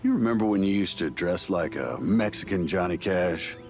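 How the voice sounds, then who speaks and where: A man acting a jovial, wisecracking middle-aged fixer in a video game, with a teasing, folksy, expressive delivery. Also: low voice, normal speed, a little hiss or hum. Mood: ribbing.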